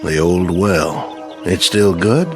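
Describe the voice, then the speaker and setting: An actor playing a solemn, gently resigned Confederate soldier in a radio drama, speaking formally and tenderly. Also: male voice, low voice, takes it slowly, over music. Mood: somber.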